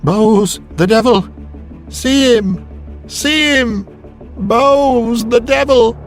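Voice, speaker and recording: A man's voice: drawn-out words, soft background music, heavily acted. A man voicing a crazed, haunted idiot with wild shrieking cries in an audiobook ghost story. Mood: terrified, crazed. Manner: shrill shrieking cries with cackling.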